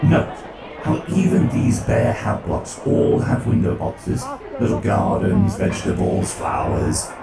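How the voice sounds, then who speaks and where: A man's voice: medium pitch, measured pace, creaky. A man voicing an ancient undead machine scholar, erudite, curious and amused, in a theatrical dramatic reading. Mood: fascinated. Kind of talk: dramatic audiobook-style reading. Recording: distant echoey voice under loud music.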